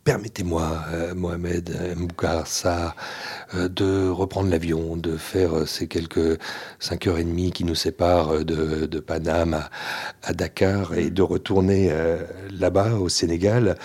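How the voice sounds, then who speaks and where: Husky, low-voiced male interviewer. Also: little pitch movement, a little slow, a little mellow. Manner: elaborate, formal phrasing full of hesitations. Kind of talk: radio-style literary interview.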